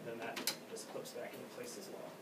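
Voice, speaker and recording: A man giving informal hands-on training as a technician, talking casually and haltingly and often trailing off. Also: medium pitch, flat delivery. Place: indoor room at an elementary school. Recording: distant mic, echoey room, clear background noise.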